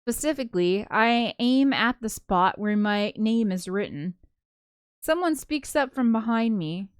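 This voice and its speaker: A woman's voice: on the high side, lively intonation, measured pace, smooth, no echo, studio quality. A woman reading a visual novel aloud, slipping into casual, chatty commentary with giggly asides. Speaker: co-host of a gaming show.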